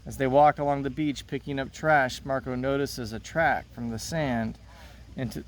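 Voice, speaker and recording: A man's voice: medium pitch, fairly even intonation, normal speed, recorded from a distance. A man speaking as a teacher, reading a children's play aloud to his students. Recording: noticeable background noise.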